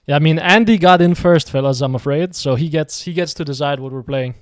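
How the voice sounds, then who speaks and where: A man's voice: fairly high pitch, a little fast, dry recording, clean studio sound. A man from Denmark chatting casually while streaming a game, rambling and answering his viewers' messages. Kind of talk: casual live game commentary.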